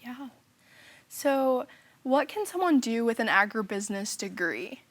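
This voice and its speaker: A woman's voice: a little high, lively intonation, unhurried. A young woman, a college student hosting an interview, asking questions in an upbeat manner. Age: young adult. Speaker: student host.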